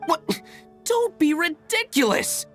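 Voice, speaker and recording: A woman's voice: very high-pitched, sing-song, takes it slowly, faint music behind, heavily acted. A woman voicing an opinionated, pedantic fruit stall vendor in a video game, emphatic and pompous. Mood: indignant. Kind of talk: video game character acting.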